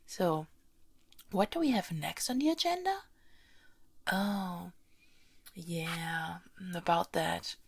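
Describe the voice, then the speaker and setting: Woman acting as bossy young princess, ASMR roleplay, breathy and husky, swooping intonation, teasing, imperious, often whispering. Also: fairly low pitch, takes it slowly, pauses often, heavily acted. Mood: teasing, casual. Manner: playfully bossy, imperious, expressive. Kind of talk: ASMR roleplay, close and soft-spoken.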